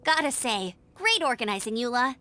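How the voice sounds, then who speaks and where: A woman's voice: fairly high pitch, big pitch swings, moderate pace, bright, forceful tone, soft background music. A woman playing a bubbly, eager young female scout knight in an anime game, enthusiastic and gushing. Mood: cheerful, admiring.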